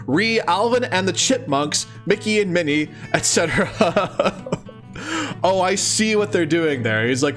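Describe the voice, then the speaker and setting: A man with a fairly high voice and very lively intonation reads aloud and comments as he goes. His delivery is casual and amused, given to exclamations and playful sarcasm, with some music behind him. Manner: amused, exclaiming, often chuckling. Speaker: host of a let's-read series.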